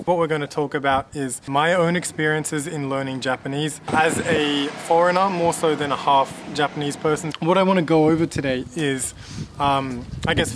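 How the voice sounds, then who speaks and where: A man in his late twenties from Australia, talking casually to camera in a relaxed, rambling way with many fillers and restarts. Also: a little high, background noise. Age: late 20s. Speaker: vlogger.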